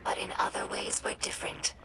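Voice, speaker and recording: A woman voicing an earnest young female alien scribe in a video game audio log, explaining in a measured, formal and thoughtful way with a breathy, creaky voice. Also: in a whisper, lightly acted, poor audio. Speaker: scribe recording audio logs for humans.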